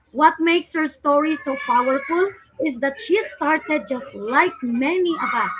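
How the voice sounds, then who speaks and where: A woman's voice: high-pitched, a bit bright, low-quality recording. A woman hosting a livestream and introducing guests in an effusive, welcoming way. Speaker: host of a live online show.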